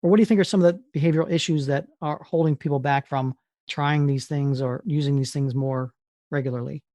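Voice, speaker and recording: A man's voice: a little high, fairly even intonation, fairly quick, warm tone, no room sound. Agreeable man hosting a podcast. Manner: agreeable, frequent short affirmations. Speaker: podcast host and interviewer. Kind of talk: casual conversational interviewing.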